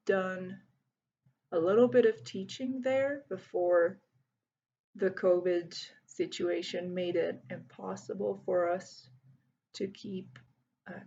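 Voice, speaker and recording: A Hungarian woman in her thirties, speaking English as a second language. She introduces herself to students at a slow, careful pace, and her voice is slightly husky. The recording sounds a little dull and muffled. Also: medium pitch, a little mellow. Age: 30s. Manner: slow, careful, with many pauses and fillers. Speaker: volunteer English teacher.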